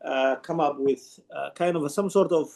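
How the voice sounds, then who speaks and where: A man speaks in an online panel discussion. His voice sits a little high with a gravelly edge. He explains earnestly and stops often to restart his sentences. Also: moderate pace, darkish tone. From Somalia. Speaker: conference participant asking a question.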